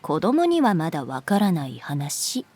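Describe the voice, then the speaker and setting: A woman's voice: sits low, wildly swooping pitch, measured pace, darkish tone, husky, no echo, full character voice. A woman playing a wry, aloof but fond teacher in a Japanese audio drama, with a dry, teasing, languid way of talking.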